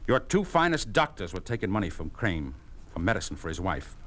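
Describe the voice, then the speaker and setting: An actor playing a solemn, principled doctor in a 1950s radio drama, weary and indignant, with clipped, emphatic delivery. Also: male voice, low-pitched, sing-song, a little fast, a bit bright. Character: grave, principled small-town male doctor, weary and indignant. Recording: noisy old 1950s radio recording.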